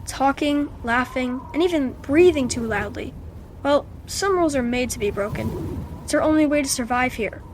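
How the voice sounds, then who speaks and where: A woman's voice: high-pitched, expressive, normal speed, a little hiss or hum. A woman voicing a twelve-year-old orphan boy in a radio play, nervous and defiant by turns. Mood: resolute. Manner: nervous, hesitant replies.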